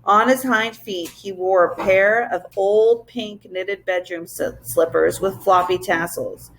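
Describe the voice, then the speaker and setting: A woman's voice: mid-range voice, a little slow, a little hiss or hum, low-quality recording. A woman narrating a children's story as a teacher reading aloud to a class.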